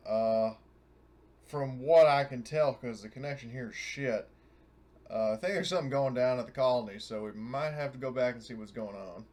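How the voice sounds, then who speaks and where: A man's voice: medium pitch, normal speed, a little mellow, close to an everyday voice. Man playing a folksy, worried crew captain. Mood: worried, businesslike.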